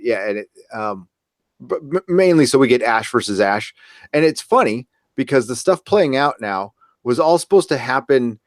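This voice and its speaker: A man co-hosting a casual discussion, rambling and halting as he goes. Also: medium pitch, no room sound, studio quality. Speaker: co-host. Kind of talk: casual chat, reading viewer comments.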